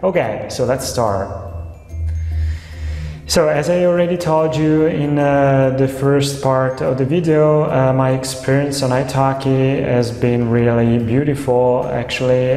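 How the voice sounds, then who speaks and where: A man's voice: mid-range voice, mellow. Italian man, hesitant, echoey room. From Italy. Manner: hesitant, many "uh" fillers. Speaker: online language tutor. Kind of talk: casual talk to camera. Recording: echoey room with long reverb.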